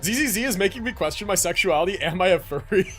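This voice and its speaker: Young streamer, animated pitch, slangy excitable gameplay reactions, game music behind. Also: fast talker. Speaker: video game livestreamer. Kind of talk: casual live gameplay reaction commentary. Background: video game music and sounds.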